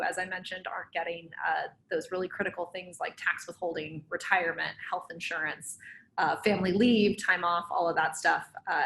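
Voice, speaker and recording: A woman speaks on a panel video call from a room at home. Her voice is on the low side, with a faint hum in the background, and she runs through her points fluently, one after another. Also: fairly bright tone, poor audio. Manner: fluent, list-like delivery. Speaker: panel guest, startup CEO. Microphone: video call webcam.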